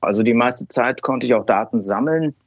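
A man's voice: mid-range voice, normal speed, breathy edge, no echo. Thoughtful man with fillers, dry and dull. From Cologne, Germany. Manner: thoughtful, many fillers and restarts. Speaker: interview guest, scientist. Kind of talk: relaxed conversational interview.

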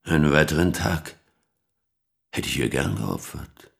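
An actor playing a smug, condescending lawyer with a gravelly voice in a dramatic audio play monologue. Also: male voice, a bass voice, lively intonation, measured pace, exaggerated voice. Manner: condescending, self-satisfied. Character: smug, vain male lawyer with a convertible.

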